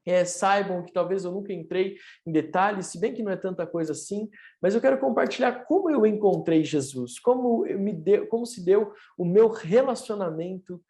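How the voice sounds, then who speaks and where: A man's voice: high-pitched, normal speed, hardly any pauses, warm tone. A man in his thirties, a pastor, sharing his testimony in an earnest, preaching way for an online church service. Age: 30s. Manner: earnest, preacherly, rising emphasis.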